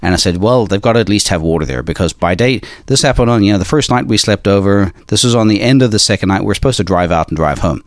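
Deep-voiced man telling a long story. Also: animated, fast, few pauses, no echo. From South Africa. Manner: rambling, many fillers, laughs easily. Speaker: off-road shop owner, podcast guest. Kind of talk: casual storytelling chat.

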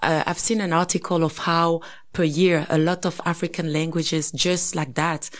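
A woman in her thirties from Congo, co-hosting a podcast, speaking reflectively and thinking aloud with frequent pauses and restarts. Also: low voice, level tone. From Congo (DRC). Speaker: podcast co-host. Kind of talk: casual conversation.

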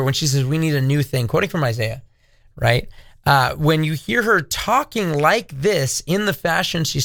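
A man co-hosting a talk, earnest and deliberate, who slows down whenever he wants a point to land. His voice sits a little high for a man. The recording is dry and close, with no room sound. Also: creaky, a bit bright, dry recording. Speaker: co-host. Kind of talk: casual discussion.

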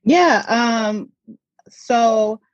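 A woman's voice: a little high, drawn-out words, dry recording. Chatty woman in her thirties, muffled audio. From Southern United States. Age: 30s. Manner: chatty, lots of fillers, laughs easily. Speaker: podcast guest, platform creator. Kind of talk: casual interview answers.

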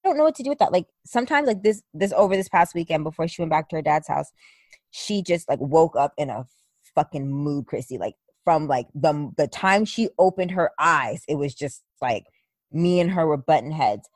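A young woman co-hosting a podcast in casual chat, animated and blunt, cursing freely. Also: low voice, normal speed, no echo. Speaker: podcast co-host.

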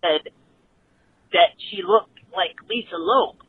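Man leaving a slow voicemail. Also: high voice, breathy edge, no room sound. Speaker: voicemail caller. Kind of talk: voicemail message. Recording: tinny phone line with faint hiss.

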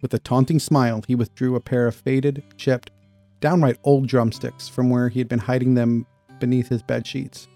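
A man reads a story aloud as an expressive narrator. His tone is mellow and warm at a middle pitch, very dry, with faint music behind. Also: moderate pace, no echo. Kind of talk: expressive scripted audiobook narration.

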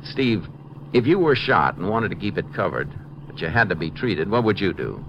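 An American actor playing a shrewd, dry-humored Texas Ranger investigator in a measured, matter-of-fact way for a 1950s radio western. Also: male voice, deep voice, very animated. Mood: resolute. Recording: muffled, noisy old 1950s radio recording.

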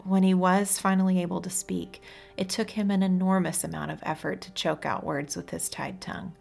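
A woman's voice: on the low side, fairly even intonation, normal speed, creaky, darkish tone, soft background music. A woman reading a story aloud as scripted audiobook narration. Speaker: audiobook reader.

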